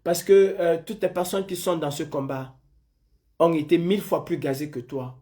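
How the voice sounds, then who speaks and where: A man's voice: a little high, fairly even intonation, normal speed, dark tone. A man from Senegal hosting a live stream, arguing with a caller and lecturing him insistently, repeating his points. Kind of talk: heated live call-in debate.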